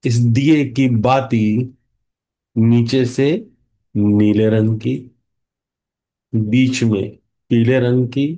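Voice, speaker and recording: A man with an Indian accent leads a guided meditation in a hushed, calming way, leaving long pauses between short phrases. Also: medium pitch.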